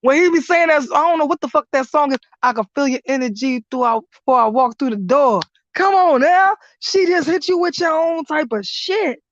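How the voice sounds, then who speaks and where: A woman with a hoarse, fairly high voice hosts a live stream, hyping things up with laughter and swearing. The sound is dull and lo-fi, and the room is dry. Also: brisk, hardly any pauses, no room sound. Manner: loud, laughing, profane hype commentary. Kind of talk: casual live-stream chat.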